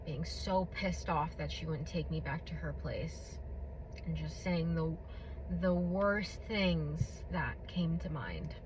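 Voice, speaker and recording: A woman hosting her own podcast who tells a personal testimony in a blunt, candid way, with a booming voice and many fillers. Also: expressive, slow, background noise. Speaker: podcast host. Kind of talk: personal testimony, casual monologue.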